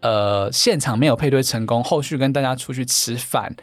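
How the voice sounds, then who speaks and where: A man's voice: medium pitch, normal speed, no echo. A man with a Taiwanese Mandarin accent, a podcast guest, chatting casually and explaining at length with lots of fillers and repeated words. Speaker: guest, founder of a dating event organizer.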